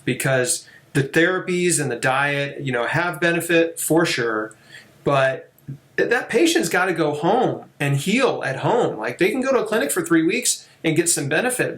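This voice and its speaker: A man's voice: medium pitch, fairly bright tone. A middle-aged man hosting a casual interview, telling stories enthusiastically with many "you know"s and "right?"s. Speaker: host.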